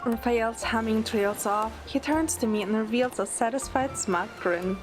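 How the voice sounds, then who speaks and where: Higher-pitched young heroine narrating, acted by a woman, over music. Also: moderate pace, close to an everyday voice. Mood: gentle narration. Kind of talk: narrated audio drama, first-person storytelling. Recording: music under the voice. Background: background music.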